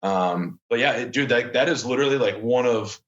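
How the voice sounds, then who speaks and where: A man's voice: mid-range voice, fairly quick, a bit bright, dull audio. A man in his thirties, a podcast guest, talking casually and rambling with lots of fillers and restarts. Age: 30s. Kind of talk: casual chat.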